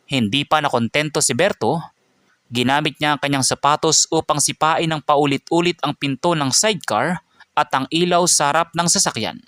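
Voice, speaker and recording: A man narrating a scripted dramatic story in a steady storytelling manner. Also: a little high, normal speed, no echo, clean studio sound.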